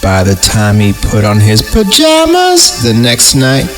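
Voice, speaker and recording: A man's voice: medium pitch, wildly swooping pitch, measured pace. American guy podcast host reading spooky stories, jokey, takes his time, music underneath. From Fresno, California. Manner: irreverent, jokey. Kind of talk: mock-dramatic story reading with casual joking asides. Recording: music bed behind the voice.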